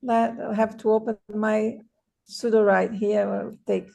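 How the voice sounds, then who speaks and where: A woman's voice: mid-range voice, little pitch movement, measured pace, creaky, warm tone. A woman whose first language is Portuguese, describing a problem on a video call and hesitantly searching for words. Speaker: attendee asking a question.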